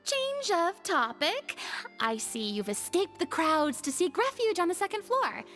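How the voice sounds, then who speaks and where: A woman's voice: extremely high pitch, sing-song, measured pace, fairly bright tone, exaggerated voice. A woman playing a playful, self-important young celebrity performer in a video game, with a dramatic, theatrical manner. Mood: grand, playful. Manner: dramatic, flamboyant, with airy asides. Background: background music.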